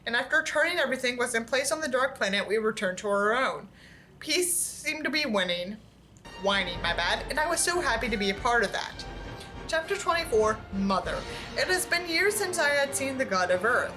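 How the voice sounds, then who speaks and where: A woman reading a book aloud in an expressive, exasperated way, with sarcastic asides. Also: on the high side, moderate pace, soft background music, rough recording.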